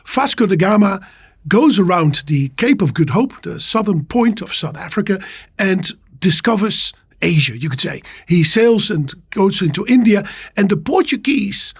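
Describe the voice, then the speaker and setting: A man's voice: high voice, lively intonation, normal speed, creaky, husky. A man with a Dutch accent, a native Dutch speaker, explaining history conversationally as a podcast guest. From Netherlands.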